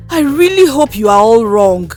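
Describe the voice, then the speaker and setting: A woman acts out an anxious school hostel matron in a told story. Her voice is high and swings widely with emotion, pleading and fretful, over clearly audible music. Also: big pitch swings, takes it slowly, darkish tone. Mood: uneasy.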